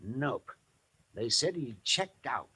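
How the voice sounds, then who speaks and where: An actor playing an earnest, worried middle-aged father with a husky voice, in 1950s radio drama style on a vintage broadcast. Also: medium pitch, very animated, slow, over loud music. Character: earnest, worried host and father, Mister Gillis.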